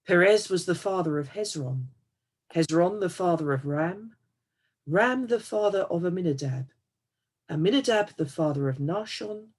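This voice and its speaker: A woman's voice: fairly low pitch, lively intonation, normal speed, a little mellow, husky. A woman reading a Bible passage aloud as a scripture reader.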